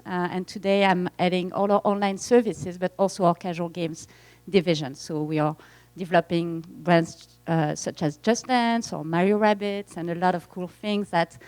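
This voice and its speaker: A woman in her forties with a French accent, giving friendly, slightly halting remarks as a games executive. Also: fairly low pitch, fairly even intonation. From France. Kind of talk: conference remarks.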